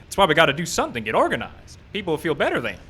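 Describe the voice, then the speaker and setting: A man's voice: a little high, huge pitch swings, racing, sharp tone, husky, full character voice. A man playing a worried, indignant townsman in a live radio western, urgent and accusing. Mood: alarmed, indignant. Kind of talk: live old-time radio western acting.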